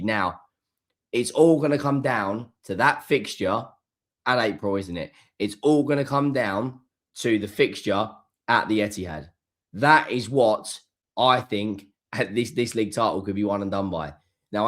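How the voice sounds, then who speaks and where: Hoarse man ranting about football. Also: fairly low pitch, fairly bright tone. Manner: emphatic, repeats key words. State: hoarse, coming down with flu. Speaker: football channel host. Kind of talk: solo post-match reaction.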